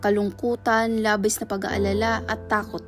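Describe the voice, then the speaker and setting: A woman's voice: medium pitch, flat delivery, normal speed. Woman narrating over prominent music. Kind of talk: scripted dramatic narration.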